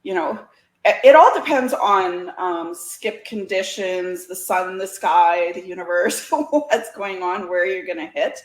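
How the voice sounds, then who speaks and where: A Canadian woman with a slightly low voice talks in a casual, chatty way, as a guest telling anecdotes would. The sound is roomy and echoey. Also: a little slow. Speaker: guest, CB radio community organiser. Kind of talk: casual chat.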